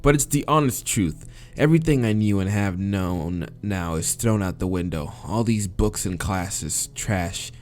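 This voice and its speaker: A man's voice: low-pitched, darkish tone. A man narrating a visual novel aloud as a let's-play host, casual and crude, sometimes stumbling over his words. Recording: music behind the voice. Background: game music.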